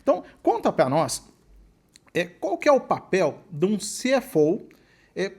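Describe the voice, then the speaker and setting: A Brazilian man with a high-pitched voice hosts an interview podcast. He speaks casually, in the manner of someone chatting with guests he works with. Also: wildly swooping pitch, unhurried, a little mellow, husky. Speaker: podcast host, accountant.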